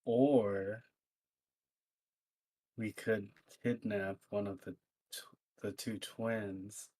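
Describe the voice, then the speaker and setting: Laid-back man player, low voice, slow unhurried delivery. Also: darkish tone, slightly rough. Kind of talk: casual tabletop game talk.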